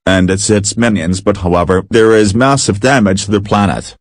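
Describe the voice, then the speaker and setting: A man reading out a mock emergency broadcast alert in a flat, formal announcement style. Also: lowish voice, moderate pace.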